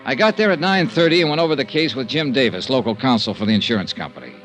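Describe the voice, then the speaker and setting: A man's voice: medium pitch, lively intonation, quick pace, fairly bright tone, faint music behind. An actor playing a cynical male insurance investigator with a wry, clipped delivery in a vintage radio drama. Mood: brisk, matter-of-fact. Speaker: lead actor and narrator. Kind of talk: 1950s radio detective acting.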